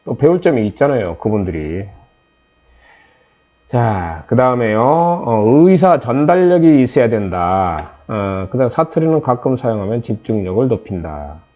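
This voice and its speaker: Low, dark-voiced Korean man lecturing. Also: lo-fi sound. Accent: Jeolla Korean, mostly softened. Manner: conversational, with many "eo" fillers and restarts. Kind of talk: recorded lecture to trainees.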